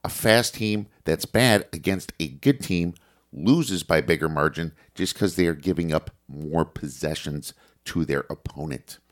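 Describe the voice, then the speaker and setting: A man with a head cold, sounding congested, going through a list of sports notes in a casual podcast with a steady reading delivery and some fillers. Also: extremely low pitch, unhurried. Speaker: sports betting podcast host.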